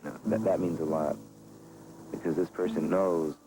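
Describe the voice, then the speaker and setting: A man speaking reflectively in a documentary interview, earnest and measured, with halting phrasing. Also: sits low, steady tone, noisy background. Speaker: boycott coordinator and organizer.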